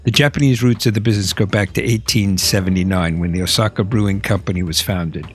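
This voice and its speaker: A man hosting a podcast, reading a prepared introduction and asking a guest questions in a clear, prepared way. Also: on the low side, fast talker, faint music behind. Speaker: podcast host. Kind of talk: scripted intro and interview questions.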